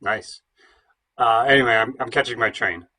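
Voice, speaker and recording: A man's voice: mid-range voice, normal speed, sharp tone. A man playing a hesitant, stammering, defensive would-be bohemian in his late thirties in an audio play. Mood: flustered, evasive.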